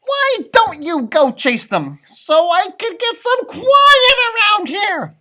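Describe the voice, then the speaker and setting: A man's voice: extremely high pitch, sing-song, slow, pushed and bright, voice pushed up, heavily acted. A man voicing a grumpy, suspicious leprechaun in indignant outbursts for a storybook. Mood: exasperated shouting. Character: grumpy, suspicious male leprechaun guarding his gold.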